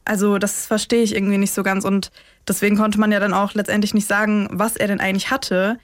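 A woman's voice: mid-range voice, flat delivery, quick pace, no echo, studio quality. A woman co-hosting a true-crime podcast in a casual, colloquial conversation. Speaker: podcast co-host.

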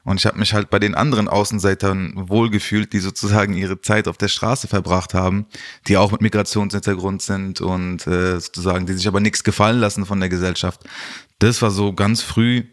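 Low-voiced man, colloquial interview guest. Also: flat delivery, fairly quick. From Germany. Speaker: social worker, interview guest. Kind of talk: personal, reflective interview talk.

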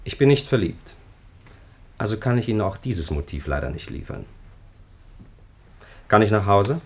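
An actor playing a steadfast, reserved chaplain in a German radio play, speaking in a measured, terse way. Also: male voice, on the low side. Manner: measured, stubbornly calm, terse. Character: steadfast, reserved male chaplain keeping a secret.

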